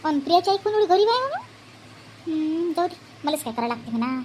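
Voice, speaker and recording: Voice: very high voice, sing-song, fast, a little mellow, slight background noise, rough recording. A performer voicing a bossy, grumbling village auntie in a family comedy cartoon, scolding and complaining in a colloquial Vidarbha Marathi accent. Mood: muttering, nosy.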